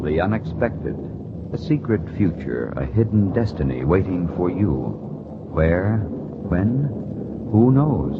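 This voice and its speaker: A man announcing a 1940s radio drama in a theatrical, ominous, deliberate scripted style. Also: deep voice, very animated. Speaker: announcer and host of the show. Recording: muffled, very noisy 1940s radio recording.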